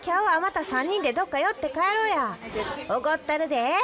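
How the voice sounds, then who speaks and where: Woman acting brash, high-voiced Kansai schoolgirl. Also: lively intonation, a little fast, heavy background noise. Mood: breezy, cheeky. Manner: blunt, cheeky, pushy. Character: brash, hot-tempered rich high school girl, unrepentant schemer. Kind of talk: radio drama acting. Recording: loud crowd chatter behind the voice.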